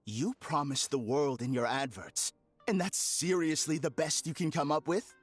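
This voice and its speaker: A man's voice: a little high, steady tone, normal speed, breathy edge, faint music behind. An actor playing an arrogant, argumentative man with a grudge in a video game, scornful and condescending. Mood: scornful, indignant.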